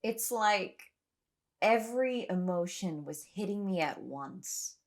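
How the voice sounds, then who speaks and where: Woman acting anxious, exhausted woman, slow-paced. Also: mid-range voice, animated. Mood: shaken, recounting. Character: anxious, exhausted young woman trapped on an island. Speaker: lead actor in an audio drama. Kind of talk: audio drama acting, first-person recollection and dialogue.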